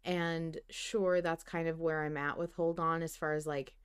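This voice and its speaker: A woman hosting a podcast, debating in casual fan discussion, animated and often interrupting herself as she thinks aloud. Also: deep voice, measured pace, clear, resonant tone. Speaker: podcast host.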